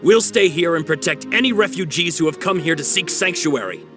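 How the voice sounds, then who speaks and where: A man's voice: on the high side, expressive, brisk, over loud music, lightly acted. A man playing a steadfast, earnest guard protecting refugees in a video game. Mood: resolute.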